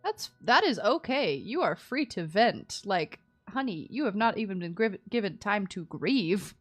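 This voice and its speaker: A woman's voice: mid-range voice, sing-song, a little slow, no room sound. A woman live streaming a game, chatting casually and playfully with cutesy slang. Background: soft background music.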